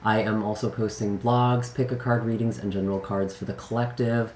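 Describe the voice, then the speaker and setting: A man hosting a tarot channel, speaking in a gentle, earnest way with frequent fillers, his voice slightly raspy after being sick. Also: lowish voice, flat delivery, fairly quick, roomy sound, a little hiss or hum. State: recovering from illness. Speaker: tarot reader. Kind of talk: casual channel intro.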